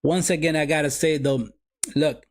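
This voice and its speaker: A man's voice: fairly high pitch, fairly even intonation, moderate pace. A man whose first language is Somali, running a live football watch-along and calling the game for his viewers, often repeating his phrases. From Sweden.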